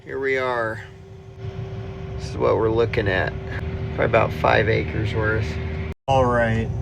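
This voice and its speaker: A man's voice: on the low side, steady tone, measured pace, vocal fry. A man in his thirties, a farmer chatting casually and rambling folksily while harvesting inside a combine cab at night. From Iowa. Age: 30s. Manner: folksy, rambling, self-interviewing. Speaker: farmer vlogger. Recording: loud engine noise in cab. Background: loud engine roar.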